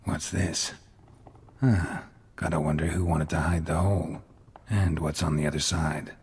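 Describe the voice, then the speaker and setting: An actor playing a terse, world-weary monster hunter in a video game, with a low gravelly murmur and clipped, deadpan delivery. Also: male voice, measured pace, full character voice. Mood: pensive, muttering. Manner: clipped, deadpan, muttering observations.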